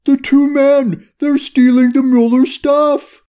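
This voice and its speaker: A storyteller voicing an excitable, earnest male dog who howls out exclamations. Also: high voice, slow pace, pitched above the performer's own voice. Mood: howling alarm. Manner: howling, exclaiming.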